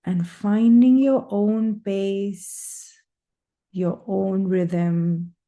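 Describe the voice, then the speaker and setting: A woman leading a guided breathing meditation, speaking in slow, soothing, drawn-out phrases with long pauses. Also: mid-range voice, fairly even intonation, dark tone.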